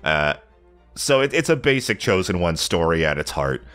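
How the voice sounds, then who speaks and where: A man's voice: low voice, big pitch swings, normal speed, fairly bright tone, faint music behind. A young man with a stuffy, slightly nasal voice from a cold, casually rambling about a video game with plenty of fillers. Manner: rambling, lots of fillers and self-corrections. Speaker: let's play channel regular. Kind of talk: casual game review monologue.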